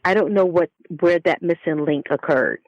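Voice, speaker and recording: A woman's voice: on the low side, moderate pace, no echo, dull audio. A woman speaking as an author guest in a casual interview, listing things in an emphatic way. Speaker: guest author and narrative coach.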